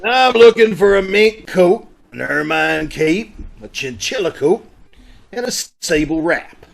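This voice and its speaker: A man playing a gruff, suspicious police inspector in an old-time radio drama, sardonic and accusing, over a video call. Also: high-pitched, expressive, takes it slowly, heavily acted. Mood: dry, suspicious. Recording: faintly noisy video call recording.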